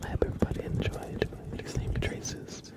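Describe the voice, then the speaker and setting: A man hosting an ASMR livestream, chatting softly and breathily to viewers, unhurried and often repeating words. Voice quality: whispered, breathy soft voice. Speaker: livestream host.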